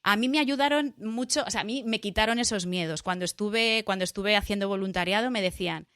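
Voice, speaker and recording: A woman's voice: mid-range voice, fairly quick, a bit bright, clear, resonant tone, no echo, clean studio sound. A middle-aged woman from Spain with a Spanish accent, chatting as a podcast guest, talking in a loose way full of restarts and fillers. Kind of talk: casual interview chat.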